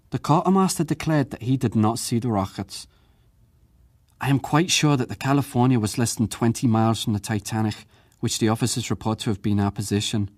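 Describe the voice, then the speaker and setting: A man acting as a working ship's crewman giving testimony at an inquiry, plain-spoken, measured and deferential, in a dramatized reading. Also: medium pitch, darkish tone, no echo, studio quality, close to an everyday voice. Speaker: witness.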